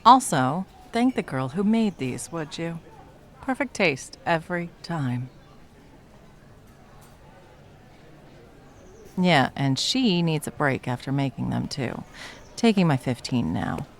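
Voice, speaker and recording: A performer playing a jaded, sarcastic cafe baker with a villainous side, speaking in a dry, menacing deadpan for a comedy audio roleplay. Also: medium pitch, unhurried, a little hiss or hum. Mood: bossy, dry. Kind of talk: ASMR comedy roleplay acting.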